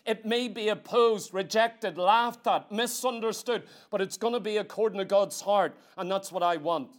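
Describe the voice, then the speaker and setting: High-pitched male preacher delivering a sermon. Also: normal speed. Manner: emphatic, exhorting, with repetition and rhetorical questions. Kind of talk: church sermon.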